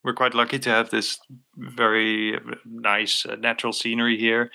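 A man's voice: medium pitch, little pitch movement, normal speed, vocal fry, bright, forceful tone. A Dutch-native man from North Holland, a podcast guest, speaking English in an easygoing way. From North Holland, Netherlands. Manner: easygoing, laughs often. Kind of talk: casual interview chat.

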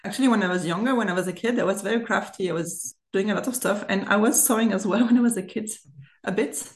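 A woman's voice: mid-range voice, fast. A woman in her thirties from Germany with a German accent, chatting casually as a podcast guest. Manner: chatty, giggles often as she talks. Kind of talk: casual chat. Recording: roomy, echoey remote recording.

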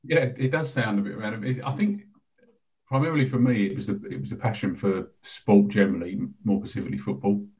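A man's voice: on the low side, quick pace, a little mellow, low-quality recording. A middle-aged man from South East London, a guest answering interview questions with modest, self-deprecating humour. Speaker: guest, charity chief executive.

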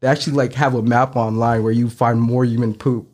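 Man in his thirties, from San Francisco, podcast guest, easygoing, relaxed. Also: medium pitch, a little fast. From San Francisco, United States. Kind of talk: casual podcast chat.

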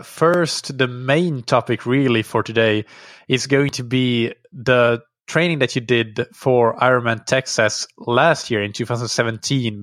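A man hosting a podcast, reading sponsor messages and then interviewing a guest in a relaxed, conversational way with frequent fillers. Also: mid-range voice, dry recording, clean studio sound. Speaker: podcast host.

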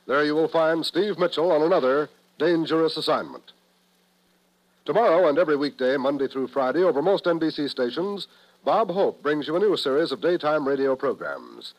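An American male announcer from 1950s network radio. His voice is slightly high, with a polished, promotional delivery on a vintage broadcast. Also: animated, normal speed, low-quality recording.

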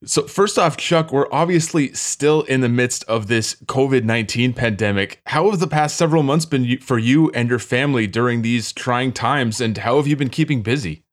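A man's voice: medium pitch, moderate pace, hardly any pauses. A man hosting a sports podcast in a semi-scripted, presenting style.

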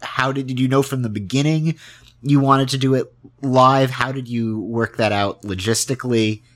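A man's voice: mid-range voice, steady tone, normal speed, a bit bright. A man hosting a podcast interview in a casual, discursive way, rephrasing as he goes.

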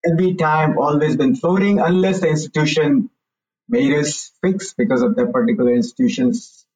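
A man's voice: on the high side, moderate pace, a little mellow, far from the mic, poor audio. A man in his forties from India, a guest telling his story frankly in a casual interview. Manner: candid, hedges with "you know, look".

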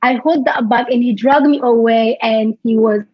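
A young woman from Ethiopia with an Ethiopian accent, a podcast guest telling her life story in halting English, repeating words and laughing through hard memories. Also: fairly high pitch, steady tone, no echo, lo-fi sound.

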